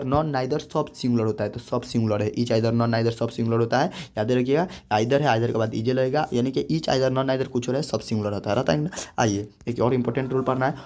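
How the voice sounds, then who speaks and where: A man's voice: medium pitch, darkish tone. A young man teaching a grammar lesson, often repeating points and drawing out example phrases.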